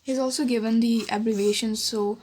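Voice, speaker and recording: A woman's voice: a little high, steady tone, fairly quick, darkish tone, rough recording. Woman, casual book flip-through, background noise. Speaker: book vlogger.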